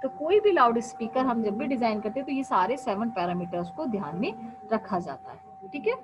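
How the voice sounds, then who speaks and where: A woman's voice: a little high, very animated, fairly quick, over music. A woman lecturer explains technical topics, posing questions and answering them herself and often checking in with students. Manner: explanatory, asks and answers her own questions, frequent "ठीक है" checks. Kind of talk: classroom lecture mixing Hindi and English. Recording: dull lo-fi sound with faint hum.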